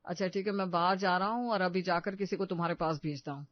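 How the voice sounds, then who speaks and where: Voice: mid-range voice, steady tone, quick pace, dry recording, dull audio, close to an everyday voice. A performer voicing a kind, reassuring young husband in a family story. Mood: caring.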